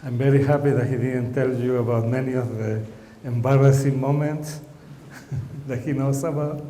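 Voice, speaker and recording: A man from Chile giving an academic lecture, explaining calmly with light humor. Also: mid-range voice, moderate pace. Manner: relaxed, explanatory, gently humorous. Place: lecture hall. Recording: echoey lecture hall, faint room noise.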